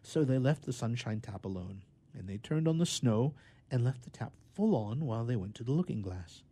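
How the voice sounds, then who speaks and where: Animated male storyteller with a mellow tone. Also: medium pitch, normal speed, breathy edge. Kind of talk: expressive children's story narration.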